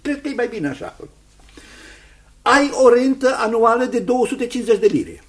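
Kindly old lawyer-guardian, radio play: fussy, halting, formal, very animated pitch that leaps about. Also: male voice. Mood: reassuring, businesslike. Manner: halting, repetitive, chuckling, formal. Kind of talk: radio drama acting.

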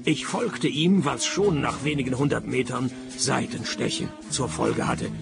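An actor playing a world-weary, sarcastic private detective who narrates and talks in a dry, deadpan way in a radio play. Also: male voice, mid-range voice, creaky. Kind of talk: hard-boiled detective radio play, first-person narration and dialogue.

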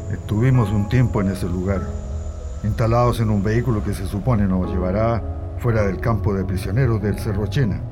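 An actor playing a former political prisoner who narrates his ordeal in a sombre, measured way for radio drama. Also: fairly low pitch, close to an everyday voice. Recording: music bed under the voice.

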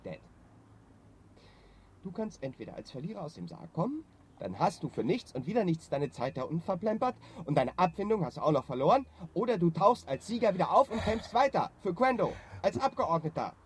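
An actor playing an eager, scheming young son, persuasive and insistent, in a German radio drama. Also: male voice, sits high, big pitch swings, brisk, slight background noise. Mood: persuasive, urgent. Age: young adult. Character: eager, scheming adult son with big ideas.

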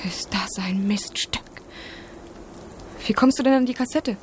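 An actress playing an indignant, sharp-tongued young girlfriend, accusing and biting, in a German radio drama. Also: mid-range voice, unhurried, a little hiss or hum, lightly acted.

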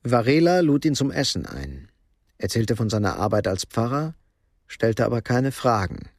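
A man narrating a radio crime drama in a measured, matter-of-fact way. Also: medium pitch, big pitch swings, no room sound, studio quality. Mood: sober. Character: sober third-person narrator of a crime story.

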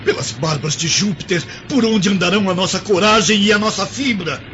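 A man's voice: sits high, brisk, pushed and bright. A man playing a shaken but composed Roman imperial administrator in a radio drama, speaking in a formal, grave way. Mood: calming. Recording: dull lo-fi sound, clear background noise.

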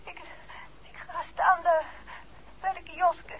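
An actress in a 1960s Dutch radio play playing a detective's dazed, fragile and affectionate wife, speaking haltingly. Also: female voice, very high-pitched, flat delivery, crowd noise. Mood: dazed, frightened. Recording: dull, noisy old radio recording.

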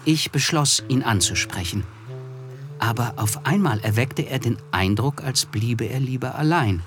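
An actor playing a reserved, observant young man who narrates his memories in a measured, literary radio play style. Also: mid-range voice, animated, natural voice. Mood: reflective. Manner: measured, reflective, hesitant in dialogue. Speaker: narrator and character. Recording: studio radio play with music. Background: music.

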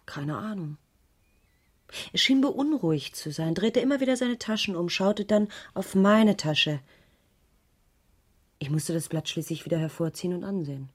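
An actress playing a troubled, evasive schoolteacher in a radio crime drama, hesitant and subdued, with a breathy voice that drops to near whispers. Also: female voice, fairly low pitch, expressive, moderate pace, pauses often. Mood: troubled recollection. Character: troubled, evasive female schoolteacher under police questioning. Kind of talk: 1980s German radio crime drama acting.

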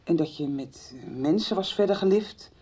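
An actress playing a hesitant young woman in a 1990s radio drama, halting and repeating words. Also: on the low side, warm tone, husky.

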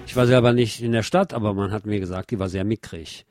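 A man's voice: lowish voice, fast, over loud music. A man presenting a radio magazine as host, reading scripted narration with personal asides.

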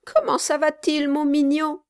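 A woman doing a gruff old gravedigger. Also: high-pitched, flat delivery, measured pace, pitched above the performer's own voice. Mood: gentle. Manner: gruff then gentle, trembling. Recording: dry close audiobook recording.

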